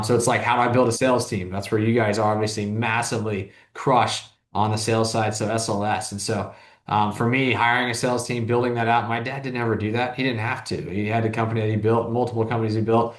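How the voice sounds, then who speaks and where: Fast-talking man in his thirties, echoey room. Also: lowish voice, level tone. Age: 30s. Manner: rambling, heavy use of "like", frequent restarts. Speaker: business owner, interview guest. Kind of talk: casual interview talk.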